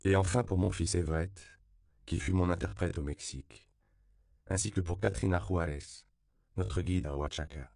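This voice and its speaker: A man reading a novel aloud as an audiobook narrator, in a calm, measured way. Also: sits low, gravelly, smooth.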